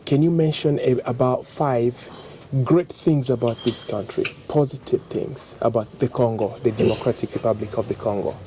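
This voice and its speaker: A man's voice: medium pitch, steady tone, moderate pace. A man interviewing guests in a casual talk, encouraging them with short affirmations. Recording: faint background noise.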